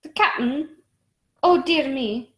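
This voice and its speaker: High, echoey, fretting mother, acted by a woman. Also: takes it slowly, background noise. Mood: worried. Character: anxious, fretting mother of a boy. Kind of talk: radio play acting. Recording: echoey room.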